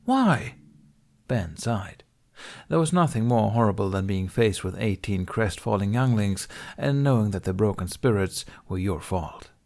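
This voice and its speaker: Low-voiced man narrating a story. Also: lively intonation, normal speed, creaky, a little mellow. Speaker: narrator reading fan fiction. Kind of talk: scripted narration, expressive storytelling.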